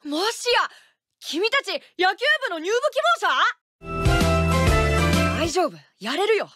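A woman's voice: extremely high pitch, big pitch swings, slow pace, loud music behind the voice, heavily acted. A woman voicing an earnest, fired-up teenage boy who catches and captains a baseball team in a game. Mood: friendly, eager.

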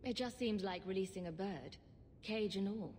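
An actress playing a wry, skeptical young female adventurer for hire in a video game, brisk and questioning. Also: medium pitch, a little mellow, natural voice. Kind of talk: video game dialogue acting. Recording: reverberant game audio with faint noise.

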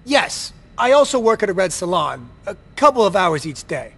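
A man's voice: high voice, big pitch swings, normal speed, creaky, a bit bright, slightly rough, exaggerated voice. An actor voicing a nervous, servile vampire garage attendant in a video game, pleading and evasive in his manner. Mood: eager, explaining. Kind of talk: video game cutscene acting.

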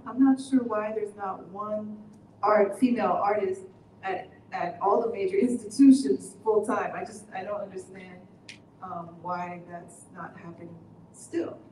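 A woman jazz musician and university teacher on a panel, speaking in a measured, thoughtful way with fillers. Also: medium pitch, darkish tone. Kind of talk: panel discussion. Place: large indoor studio room, seated panel. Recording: roomy, distant, slightly noisy.

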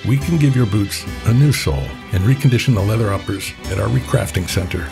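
A man giving slow, reflective scripted narration for a boot commercial. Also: lowish voice, lively intonation, vocal fry, over music.

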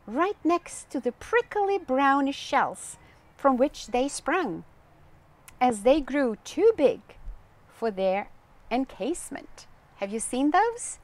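Older woman, children's storytime host, unhurried slow pace, lively swooping intonation, gentle read-aloud delivery. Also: high-pitched. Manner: gentle, expressive, addressing young listeners with questions. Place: outdoors on a garden bench.

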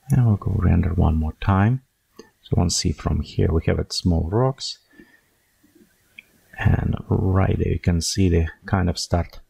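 Tutorial narrator: man, deep gravelly husky voice, dark tone, dry close mic, thinks aloud while clicking. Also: no room sound. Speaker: software tutorial instructor. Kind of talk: screen-recorded software tutorial.

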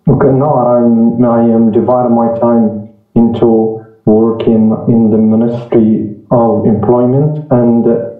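A man from Morocco introducing himself politely on a video call, steady with filler pauses. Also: medium pitch, soft background music. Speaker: call participant. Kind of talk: casual self-introduction. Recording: echoey tinny phone-like call audio.

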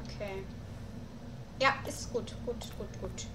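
A woman's voice: medium pitch, takes it slowly, roomy sound, heavy background noise. A young woman doing casual let's play commentary, thinking aloud through puzzles at home on a mic. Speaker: let's player.

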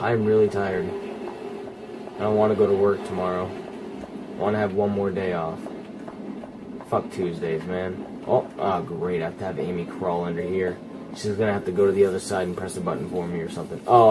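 A man giving casual, profane commentary as he plays a horror game, talking straight at the game. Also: low voice. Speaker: gamer playing and commenting. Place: indoors, filming a TV screen while playing. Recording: noisy room capture with game sound.

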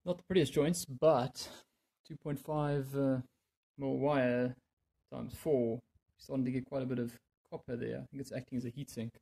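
A man giving casual hands-on DIY commentary, muttering and thinking aloud as he works, with a gravelly, slightly husky voice. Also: mid-range voice, mellow. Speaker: DIY video maker. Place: indoors in a home room.

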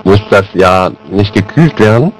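A man's voice: on the low side, lively intonation, measured pace, soft background music. A man making a prank phone call, chatting casually in a straight-faced, hesitant way. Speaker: prank caller. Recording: noisy amateur recording of phone prank.

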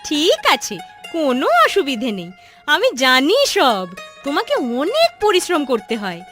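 A woman voicing a kind, grateful village woman in a cartoon story, speaking in a gentle, reassuring manner. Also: high voice, huge pitch swings, a little slow, full character voice. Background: background music.

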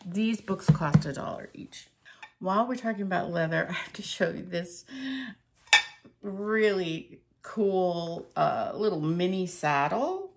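A woman's voice: lowish voice, lively intonation, measured pace, gravelly, very noisy. A middle-aged woman casually showing off thrift store finds, chatty and hesitant with plenty of fillers. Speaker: antique reseller showing a thrift haul. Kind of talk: casual show-and-tell vlog.

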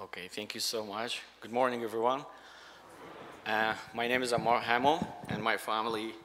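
A middle-aged man with an Iraqi accent and Arabic as his first language, giving an earnest, halting testimony in English. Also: on the low side, little pitch movement, bright, forceful tone, a little hiss or hum. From Iraq. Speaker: church guest giving testimony. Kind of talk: spoken personal testimony. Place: church sanctuary stage. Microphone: handheld microphone on a stand. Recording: reverberant church hall sound.

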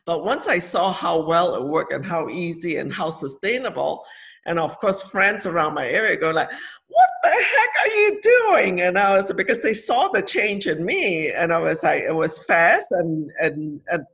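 A woman's voice: fairly low pitch, normal speed. A woman from Malaysia, a health coach chatting casually and acting out the things people said to her. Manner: lively, acts out quoted speech. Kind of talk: casual video-call chat.

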